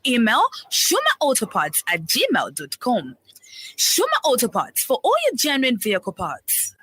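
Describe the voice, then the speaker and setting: Enthusiastic woman in a radio ad, hugely animated, bright, faint noise behind. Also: on the high side, normal speed, exaggerated voice. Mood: upbeat, promotional. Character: enthusiastic woman recommending a car parts supplier. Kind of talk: scripted radio advert dialogue.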